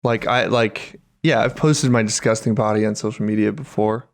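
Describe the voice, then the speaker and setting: A man's voice: fairly low pitch, moderate pace. A man co-hosting a podcast in casual comedic chat, slangy and profane. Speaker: podcast co-host.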